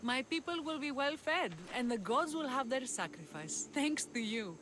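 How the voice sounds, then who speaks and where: A woman playing a brave young rebel leader and huntress in a video game, haunted yet tender, speaking in an earnest, measured, dramatic way. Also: sits high, animated, a bit bright, slight background noise. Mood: resolute. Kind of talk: cinematic video game acting. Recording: cinematic music behind the voice. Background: music.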